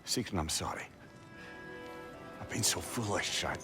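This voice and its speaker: A man playing a talkative older sage in a video game, with a Scottish accent and a wry, excitable manner. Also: deep voice, unhurried, over music. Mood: remorseful. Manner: chatty, wry, exclaiming. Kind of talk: dramatic video game acting.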